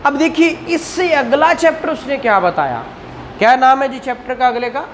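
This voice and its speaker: A man's voice: extremely high pitch, expressive, normal speed, background noise. A man with a Pakistani accent giving a classroom law lecture, didactic, often prompting listeners with questions and repeating the answers. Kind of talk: classroom exam-prep lecture.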